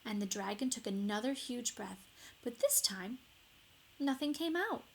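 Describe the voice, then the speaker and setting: Slow, expressive woman reading a storybook. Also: a little high, vocal fry. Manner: deliberate, dramatic emphasis. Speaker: storybook reader. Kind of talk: expressive children's story narration.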